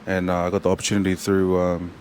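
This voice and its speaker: A young man with a New Zealand accent, a rugby player chatting as a guest in a casual interview, halting and full of fillers and restarts. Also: low voice, monotone, darkish tone, a little hiss or hum.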